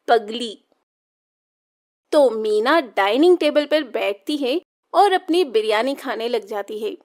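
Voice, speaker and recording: Female storyteller for kids' tales: high voice, slow scripted narration. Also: animated, darkish tone.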